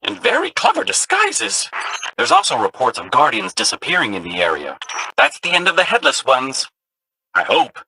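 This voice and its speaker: A man's voice: mid-range voice, huge pitch swings, moderate pace, creaky, pushed and bright, husky, heavily acted, poor audio. An actor voicing an eager, chatty male ghost companion robot in a video game, a curious storyteller of spooky legends. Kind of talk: game voice lines.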